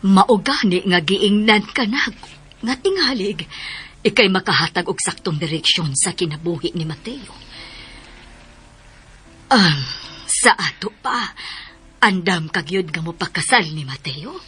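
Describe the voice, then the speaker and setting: A Filipino woman in a radio drama playing an older, anxious, persuasive lady of the house with a husky voice. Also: lowish voice, expressive, a little slow, long pauses, a bit bright, exaggerated voice. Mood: earnest, persuasive. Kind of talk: Philippine radio drama acting.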